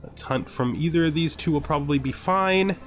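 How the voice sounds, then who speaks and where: A man's voice: a little high, a little fast, faint music behind. A man giving casual gameplay commentary, thinking aloud and often muttering questions and then answering them himself. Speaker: gamer narrating a let's play.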